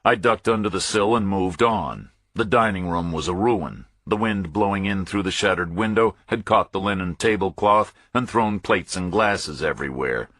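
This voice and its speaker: A man with a deep, rough-edged voice narrates a first-person thriller audiobook. His phrasing is terse and clipped, falling into short, separate statements. The recording is very dry, with no room sound around the voice. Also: normal speed, few pauses, a bit bright, no echo.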